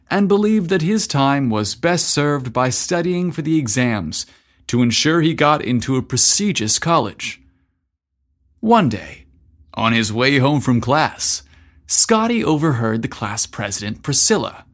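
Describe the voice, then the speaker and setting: A man hosting a story podcast, narrating from a script in a deliberate, emphatic way. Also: medium pitch, big pitch swings, a bit bright.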